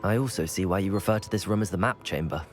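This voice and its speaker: A young actor playing a polite, self-assured wizard student in a video game. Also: male voice, sits low, fast talker, natural voice. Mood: composed, curious. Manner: polite, composed. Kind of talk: video game cutscene acting. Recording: music behind.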